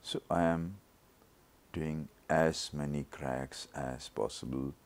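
A man with an extremely low voice, still worn from a throat infection, narrates surgery. He talks very slowly, laying out each step plainly for learners. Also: fairly even intonation. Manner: slow, measured, step-by-step explanation. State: recovering from a throat infection, voice not normal. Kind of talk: slow surgical teaching narration.

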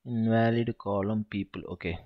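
Low-voiced man thinking aloud over code. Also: steady tone, normal speed, narrow, tinny audio. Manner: thinking aloud, counting step by step. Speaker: SQL tutor. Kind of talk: screen-recorded coding walkthrough.

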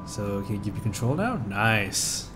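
A man's voice: on the low side, very animated, moderate pace. A man giving casual commentary over gameplay with wry, sarcastic asides. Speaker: gameplay video host. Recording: game music under commentary.

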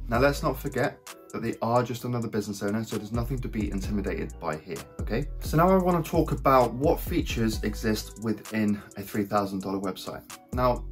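A man in his thirties with a croaky voice from a recent cold, hosting an upbeat scripted tutorial from a small home office and speaking straight to viewers. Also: mid-range voice. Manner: upbeat, direct, addresses viewers as guys. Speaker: web design channel host. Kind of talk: scripted talking-head tutorial.

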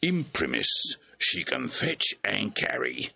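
An actor playing a cheeky, simple, rambling clown of a servant in a Shakespearean audio play, with a rough, drawling delivery. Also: male voice, on the low side, wildly swooping pitch, slow pace, sharp tone, lo-fi sound, full character voice. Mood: musing, amused. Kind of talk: classical stage comedy acting in an audio play.

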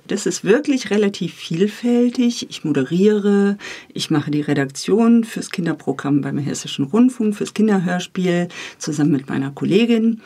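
A woman's voice: lowish voice, mellow, slightly rough. A woman talking casually as an interview guest, recorded in a studio. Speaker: interview guest, radio editor.

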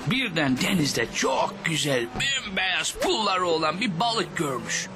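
An actor voicing a jolly older fisherman, telling children a moral tale in a cartoon. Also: high-pitched. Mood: storytelling. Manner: animated, unhurried storyteller's delivery. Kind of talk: animated cartoon storytelling to children. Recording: music behind the voice. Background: music.

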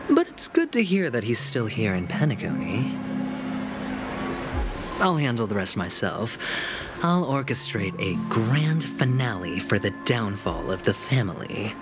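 Suave young schemer, very animated. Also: male voice, fairly low pitch, measured pace. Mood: grandiose. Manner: smooth-talking, theatrical, wry. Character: suave, confident, theatrical young gambler schemer, a man. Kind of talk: anime-style game voice acting. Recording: loud background music.

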